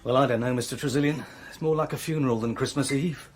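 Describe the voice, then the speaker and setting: A man's voice: mid-range voice, fairly even intonation, fast talker, slight background noise, natural voice. An actor playing a chatty, nervy young male servant in a radio play, gossipy but deferential. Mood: gossipy, uneasy.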